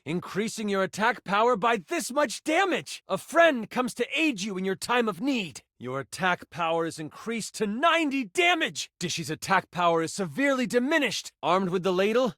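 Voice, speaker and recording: Nerdy excitable video game dice master, high voice, super animated dramatic narration, really dry sound. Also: male voice, moderate pace, hardly any pauses, dry recording, exaggerated voice. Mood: excited narration. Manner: theatrical, expressive, shifts between grand narration and casual asides.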